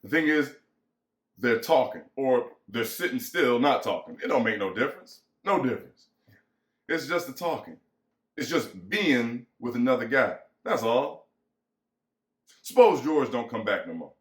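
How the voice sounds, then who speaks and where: A man's voice: medium pitch, animated, a little slow, natural voice. A man acting as bitter, lonely American ranch hand, echoey. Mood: musing, pressing. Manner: folksy rural vernacular, musing and pressing. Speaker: actor. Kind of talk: dramatic reading of a novel. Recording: echoey room.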